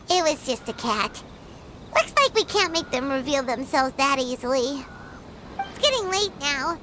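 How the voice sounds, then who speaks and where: Brief: a tiny chirpy fairy guide, very high and bouncy, game dialogue over loud background noise. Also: female voice, very animated, takes it slowly, long pauses, full character voice. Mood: cheerful. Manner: bubbly, speaks of herself in third person. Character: tiny floating female fairy companion, chirpy and excitable. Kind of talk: video game voice acting.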